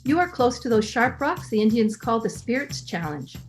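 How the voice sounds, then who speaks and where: A woman's voice: a little high, sing-song, moderate pace, soft background music. A woman playing an earnest, superstitious wife, theatrical in the manner of 1930s radio melodrama. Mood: earnest, solemn.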